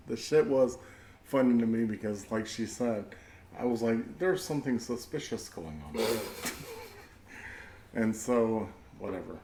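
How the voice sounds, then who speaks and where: A man's voice: mid-range voice, lively intonation, slow, pauses often, noisy background. Laid-back man in an echoey room. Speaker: podcast co-host. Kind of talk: casual podcast chat.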